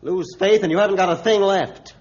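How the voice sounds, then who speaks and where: Man as authoritative ship's captain, stern, slightly high voice, declamatory, vintage radio drama, muffled recording. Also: fairly even intonation, brisk, a little mellow, close to an everyday voice. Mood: firm. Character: stern, commanding male ship's captain, steadfast and reasonable. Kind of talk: 1950s radio acting. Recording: dull 1950s radio recording.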